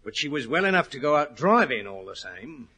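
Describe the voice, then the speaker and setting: An actor playing a plain-spoken horse trainer in a 1940s radio drama, on a noisy vintage recording. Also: male voice, mid-range voice, very animated, fairly quick, sharp tone, breathy edge, full character voice. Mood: earnest, worried. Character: gruff, bold English head horse trainer, respectful to gentlemen.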